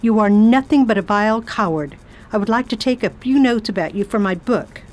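A woman's voice: medium pitch, brisk, darkish tone, natural voice. A woman playing a commanding spinster travel writer. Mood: stern. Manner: commanding, crisp, condescending. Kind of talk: amateur radio drama acting.

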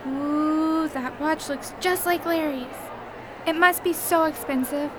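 Over clear background noise, a woman acts out a young alien girl with a high, small voice. She is earnest and desperate, and speaks with careful, formal wording in radio-play style. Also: steady tone, slow pace. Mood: flustered. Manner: stiffly formal, avoids contractions. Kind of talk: audio drama acting.

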